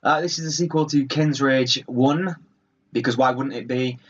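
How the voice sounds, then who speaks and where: A man's voice: mid-range voice, normal speed, breathy edge, muffled recording. A man giving casual let's play commentary in a rambling, offhand, dryly wry way. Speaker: gaming video host.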